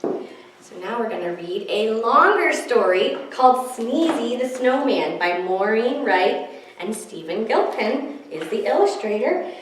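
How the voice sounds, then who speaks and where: High-voiced woman storyteller in an echoey room. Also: big pitch swings, measured pace, slight background noise. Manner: sing-song, addressing young children with questions. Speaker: children's library storyteller. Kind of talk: children's story time read-aloud. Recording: very echoey room, distant mic.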